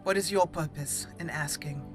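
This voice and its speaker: A woman's voice: sits low, moderate pace, soft background music, close to an everyday voice. A defiant elf mage woman, put on by a female gamer. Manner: cool, cutting retorts.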